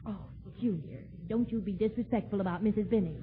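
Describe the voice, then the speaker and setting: An actress playing a sensible, middle-aged American wife and mother in 1940s radio comedy, patient and gently correcting. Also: mid-range voice, fast talker, a crowd in the background, close to an everyday voice. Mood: motherly. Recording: tinny 1940s radio broadcast.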